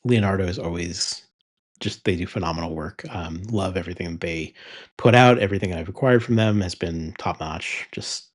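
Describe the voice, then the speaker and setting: A man hosting his fountain pen channel, talking through a pen review in a relaxed way with many ums and uhs. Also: low voice, moderate pace. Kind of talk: casual product review.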